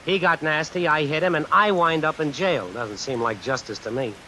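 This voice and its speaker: A man's voice: fairly high pitch, moderate pace, sharp tone, poor audio. A man playing a terse, defiant young riveter and brawler in a 1950s radio drama. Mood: defiant, aggrieved. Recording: hissy old radio recording under rain sounds.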